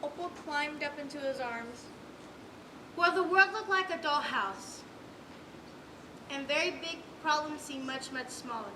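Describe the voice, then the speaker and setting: Across a noisy, echoey stage, a woman plays a storybook narrator. The voice is high and the telling measured. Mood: gentle storytelling. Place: stage in a large room.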